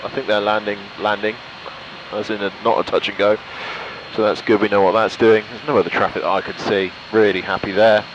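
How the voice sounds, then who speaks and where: A man's voice: lowish voice, level tone, fairly quick, dull audio. A man pilot giving casual, self-critical, explanatory flight commentary and short radio calls. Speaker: pilot and vlogger. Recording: engine noise in light aircraft. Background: aircraft engine hum.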